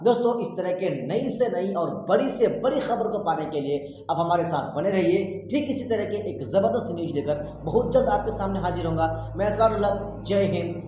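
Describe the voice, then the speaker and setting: A man's voice: high-pitched, normal speed, few pauses, warm tone, slight background noise. A man presenting political news commentary to viewers, with an emphatic, repetitive way of addressing them directly. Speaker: YouTube news commentator and host. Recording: very echoey, reverberant sound.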